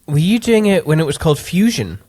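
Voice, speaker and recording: A man's voice: a little high, very animated, brisk, a little mellow. A man co-hosting a podcast, casually chatting and asking questions. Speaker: podcast co-host. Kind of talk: casual chat.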